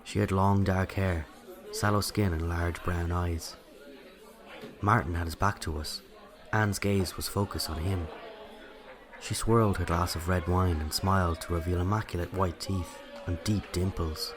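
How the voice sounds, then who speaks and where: A man's voice: sits low, fairly even intonation, unhurried, a crowd in the background. An Irish man playing a narrator who recalls a night from his childhood, in scripted first-person storytelling. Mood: reflective.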